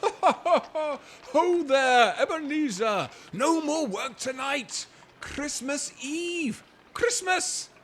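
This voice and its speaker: An actor playing a jovial, hearty old merchant in an audio drama, calling out in exclamations. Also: male voice, expressive, extremely slow, a bit bright, a little hiss or hum, heavily acted. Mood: jolly, exuberant. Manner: booming, exclamatory calls.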